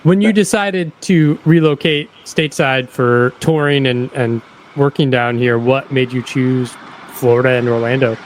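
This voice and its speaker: A relaxed man hosts a casual podcast interview, laughing easily and chiming in with short agreements. His voice has a medium pitch. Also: normal speed, low-quality recording. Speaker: podcast host.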